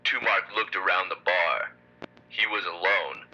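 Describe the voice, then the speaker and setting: A man narrating scripted pulp fiction in a raspy, deadpan style. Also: sits low, a little slow, full character voice. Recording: muffled, radio-like sound.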